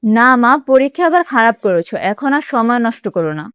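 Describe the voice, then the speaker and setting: A woman's voice: fairly high pitch, expressive, brisk, a bit bright, clear, resonant tone, no echo, studio quality. A woman voicing a strict mother scolding her daughter in a cartoon. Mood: stern.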